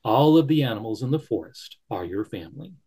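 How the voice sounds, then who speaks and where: A man voicing a great silver wolf who speaks slowly, gently and deliberately. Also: mid-range voice, animated, slightly rough. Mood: gentle.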